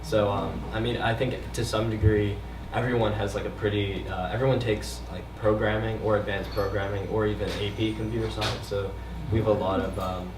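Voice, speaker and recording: A teenage high school student answering questions on a panel, hesitant and full of fillers. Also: male voice, flat delivery, brisk, few pauses. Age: late teens. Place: community event hall. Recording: echoey hall, distant mic, background noise.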